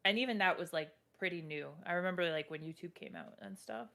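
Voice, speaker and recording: A young woman guesting on a stream over a voice call, loud, playful and quick to laugh. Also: lowish voice, very animated. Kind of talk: casual chat over voice call. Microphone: voice call mic.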